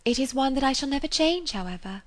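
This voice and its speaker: A woman's voice: sits high, lively intonation, quick pace, pitched above the performer's own voice. A woman voicing an earnest, impressionable young woman in love in a storybook, with an effusive, emphatic manner. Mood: solemn, indignant. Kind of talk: dramatised audiobook character reading.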